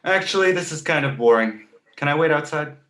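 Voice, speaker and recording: A sarcastic baby boy played by a grown man who speaks in full adult sentences for an animated comedy read. The delivery is snarky and very lively in pitch, recorded in an echoey room. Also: brisk. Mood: mock innocence. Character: precocious snarky two-month-old baby boy who talks. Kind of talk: live table read of an animated comedy script.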